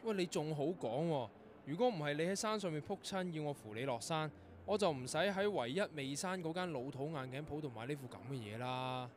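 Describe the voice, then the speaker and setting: A man's voice: a little high, brisk, a little hiss or hum, natural voice. An actor playing a weary, grumbling young male composer in a radio drama. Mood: irritated. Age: young adult. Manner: grumbling, dry, sighing. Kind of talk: Cantonese radio drama acting.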